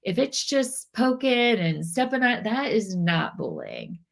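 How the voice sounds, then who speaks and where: A woman's voice: mid-range voice, wildly swooping pitch, a little slow, gravelly. A middle-aged woman hosting a parenting podcast, a little nasal and congested from a cold, talking earnestly with many restarts and repeated phrases. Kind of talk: casual talk to camera.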